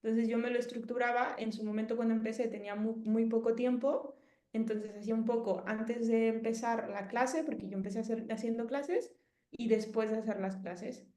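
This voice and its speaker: A woman's voice: on the high side, fairly even intonation, a little fast, mellow, echoey room. A Mexican woman in her thirties with a Mexican Spanish accent, chatting casually as an interviewee and rambling with many fillers and restarts. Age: 30s.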